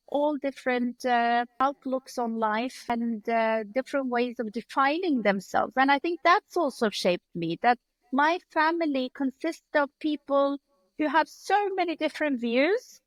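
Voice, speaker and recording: A woman's voice: sits high, no room sound, poor audio. A woman in her forties from Iran, speaking as a podcast guest in a thoughtful, explanatory, reflective way. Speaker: podcast guest, leadership consultant. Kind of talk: reflective interview talk.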